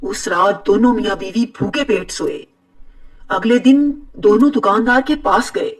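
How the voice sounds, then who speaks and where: A woman narrating an animated moral story in a steady, moralizing telling. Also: mid-range voice, measured pace, distant mic. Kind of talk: scripted cartoon narration.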